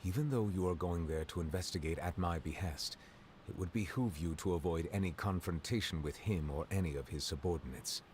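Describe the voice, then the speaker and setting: A very low-voiced, stately male judge from a video game, voiced by an actor. He is formal, reserved and deliberate, with faint noise behind him. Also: a little mellow, lightly acted. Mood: cautionary. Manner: formal, deliberate, courtly wording. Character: dignified, reserved male chief justice, measured and formal.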